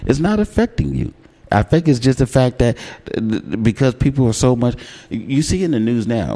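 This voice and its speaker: A man with an American accent and a deep voice, hosting a casual, opinionated radio talk show and taking care to enunciate. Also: mellow. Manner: enunciates words carefully.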